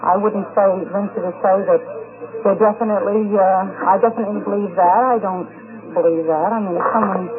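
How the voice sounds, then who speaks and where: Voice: medium pitch, fairly even intonation, a little fast. An interviewee giving earnest, hesitant, carefully phrased answers in a vintage 1950s radio interview. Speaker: convention attendee being interviewed. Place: busy convention, on location. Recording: tinny 1950s radio recording, crowd chatter.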